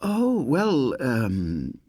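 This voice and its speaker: A man voicing a hesitant, fussy old lady in a breathy, flustered way for an audiobook story. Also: medium pitch, huge pitch swings, darkish tone, no echo, clean studio sound, pitched above the performer's own voice, heavily acted. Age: older. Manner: flustered, halting.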